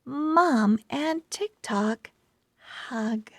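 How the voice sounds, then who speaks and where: Slow, high-voiced woman reading to young children. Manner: deliberate, word-by-word, expressive. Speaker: children's reading channel host. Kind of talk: slow read-along storytelling for young children.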